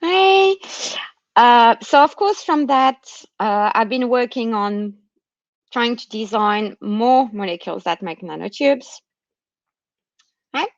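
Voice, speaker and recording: Woman researcher w non-australian accent explaining science stuff, highish voice, dry muffled online audio. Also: animated, slow pace, long pauses, no room sound. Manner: checks understanding with short tags. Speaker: university researcher giving a talk. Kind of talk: explanatory academic presentation. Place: remote online talk during lockdown.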